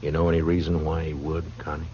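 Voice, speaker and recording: A low male voice on a dull, faintly noisy old radio recording. He is a stern western marshal, weary and dry, who talks in blunt, terse phrases. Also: flat delivery, fast, dark tone. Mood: probing. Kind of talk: 1950s radio western acting.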